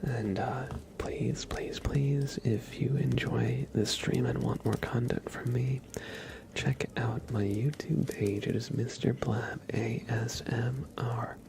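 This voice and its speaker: A man hosting an ASMR livestream, chatting casually and gently to viewers in a breathy whisper. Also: fairly even intonation, slow, background noise. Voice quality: whispered, breathy, with some soft creaky low voicing. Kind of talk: ASMR, soft casual chat to live viewers.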